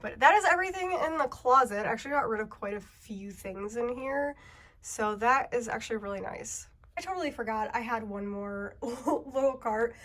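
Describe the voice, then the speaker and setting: A woman's voice: on the high side, moderate pace, a bit bright. A woman in her thirties with a congested voice, chatting casually and rambling to the camera at home. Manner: chatty, rambling, laughs often. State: congested, feeling sick. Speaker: booktuber. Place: home, sorting bookshelves indoors.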